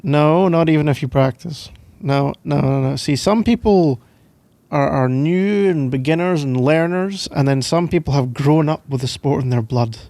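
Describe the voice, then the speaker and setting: A man's voice: on the high side, normal speed, vocal fry, dark tone, dry recording. A young Scottish man doing casual boyfriend roleplay ASMR in a playful, teasing, rambling way, close to the microphone. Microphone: close microphone, dry sound.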